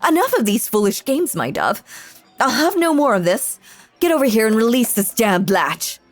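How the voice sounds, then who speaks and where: A woman's voice: fairly high pitch, sing-song, moderate pace, dry recording, faint music behind. A woman playing a possessive, sinister stage magician mistress in an audio roleplay, theatrical and full of silky menace. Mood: teasing, impatient. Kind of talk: dramatic audio roleplay acting.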